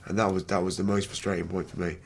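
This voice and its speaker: British male, twenties, candid interviewee. Rough, damaged voice sitting very low, faint location noise behind. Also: flat delivery, fast. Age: 20s. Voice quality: damaged, rough voice from throat surgery. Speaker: wheelchair rugby player.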